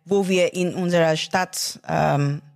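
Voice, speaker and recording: A Nigerian woman whose first language is Efik, speaking in a Nigerian accent and often pausing to search for her German words as a filmmaker being interviewed. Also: lowish voice, fairly even intonation, vocal fry, darkish tone. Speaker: guest filmmaker. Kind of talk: interview conversation.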